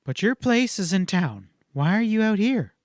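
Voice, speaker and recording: A man voicing a scornful, quick-tempered young frontier woman in a western audiobook, speaking in emphatic, cutting outbursts. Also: sits high, very animated, no room sound, studio quality, pitched above the performer's own voice. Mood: bitter, teasing.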